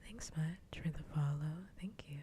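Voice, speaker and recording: Woman, low-pitched, mellow and dark, soft ASMR chat, frequent whispering, faint rumbling noise. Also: normal speed. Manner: soft-spoken, often drops into whisper. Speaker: streamer. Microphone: close ASMR microphone.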